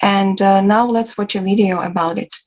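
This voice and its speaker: A woman hosts a formal discussion in a medium-pitched, even-toned voice. Her delivery moves haltingly from phrase to phrase. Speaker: host. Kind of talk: semi-prepared presenting.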